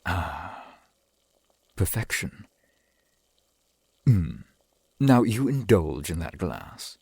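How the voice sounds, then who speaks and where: A man playing a courtly, doting centuries-old vampire boyfriend in an ASMR roleplay, speaking in formal, archaic, gently attentive phrases. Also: low-pitched, big pitch swings, very slow, slightly rough, dry recording, full character voice. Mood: proud, hospitable.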